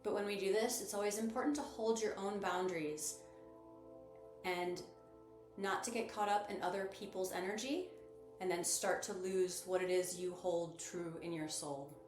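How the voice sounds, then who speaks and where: Woman, guided meditation narration, mid-range pitch, measured and encouraging, echoey space, music behind. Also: steady tone. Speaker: guided meditation host and sound bath guide. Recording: roomy echo with music behind.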